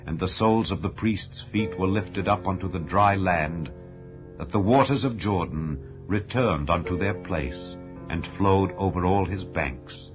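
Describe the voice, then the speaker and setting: A man narrating scripture as an audiobook in a solemn, measured, formal and declamatory way. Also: sits low. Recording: dull lo-fi audio with background music. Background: background music.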